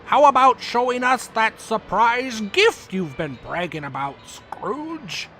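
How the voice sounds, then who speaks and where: A man's voice: sits high, expressive, takes it slowly, fairly bright tone, faint music behind, heavily acted. A man voicing a smug, needling cartoon rival in a comic dub, drawling mocking taunts. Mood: smug, goading.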